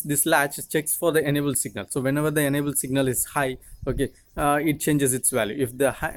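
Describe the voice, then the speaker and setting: A man in his twenties, a native Tamil speaker with an Indian accent, giving an explanatory lecture in a halting, repetitive way. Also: mid-range voice. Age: 20s. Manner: halting, repetitive, frequently checking with "okay". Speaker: teacher, video channel host.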